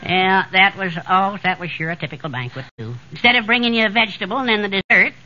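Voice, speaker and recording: An actor playing a needling, folksy small-town mayor in a 1940s radio comedy. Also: male voice, high-pitched, lively intonation, fast, pushed and bright, heavily acted. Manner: folksy, teasing. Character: needling, wisecracking small-town mayor, male friend and rival. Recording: dull 1940s radio recording.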